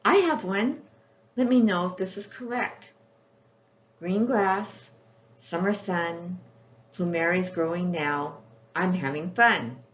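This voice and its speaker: A woman's voice: on the low side, slow, long pauses. A woman voicing a cheerful, curious and friendly little girl from a children's storybook. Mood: cheerful, eager. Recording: roomy, muffled, with faint background noise.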